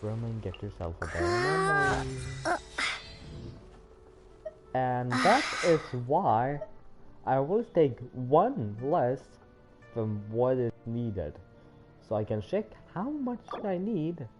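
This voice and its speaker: A man doing casual, rambling livestream game commentary, thinking out loud to himself. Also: medium pitch, very animated, slow pace, pauses often. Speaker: game streamer. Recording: loud game music behind voice. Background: video game music.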